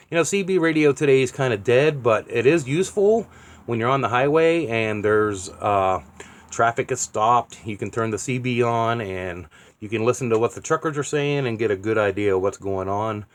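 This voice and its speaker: A man chatting casually and unscripted as a vlogger, in a plainspoken, offhand way. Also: mid-range voice. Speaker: vlogger documenting a bus build. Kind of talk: casual unscripted vlog chat.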